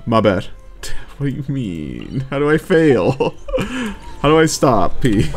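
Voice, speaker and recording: A man's voice: mid-range voice, big pitch swings, slow. A man doing casual let's play commentary and chatting with viewers in a wry, mock-exasperated way. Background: faint game music.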